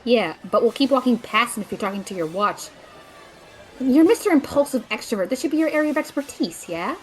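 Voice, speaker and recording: A woman's voice: fairly high pitch, animated, fairly quick, close to an everyday voice, low-quality recording. A woman playing a tech-minded, sarcastic female sidekick who teases dryly, in an audio drama. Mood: teasing. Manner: dry, sarcastic, teasing.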